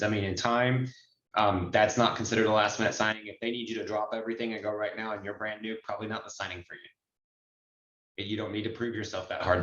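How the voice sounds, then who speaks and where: A low-voiced man explains things in a candid, instructive way as a trainer in an online question session. The audio is echoey and somewhat dull, like a group video call. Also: a bit bright. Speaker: notary trainer. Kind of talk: informal teaching in online Q&A.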